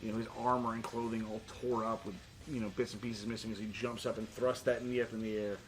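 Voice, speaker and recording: A man's voice: fairly low pitch, little pitch movement, fast talker, low-quality recording. A man narrating a tabletop role-playing game as game master in a conversational way.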